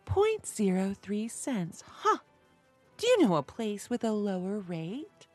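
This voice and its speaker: A woman narrator voicing a brisk young woman in a story, cool and playfully teasing. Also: mid-range voice, very animated, darkish tone, faint music behind, voice pushed up. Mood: curious.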